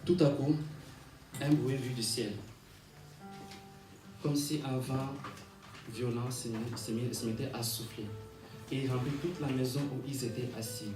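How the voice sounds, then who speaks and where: A male preacher with a medium-pitched voice, heard at some distance in an echoey room. He delivers a sermon, repeating and rephrasing his lines and punctuating them with amens, while music plays clearly behind him. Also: steady tone. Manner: halting when reading aloud, repeats and rephrases phrases; rhythmic repetition, calls for amens. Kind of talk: sermon with scripture reading.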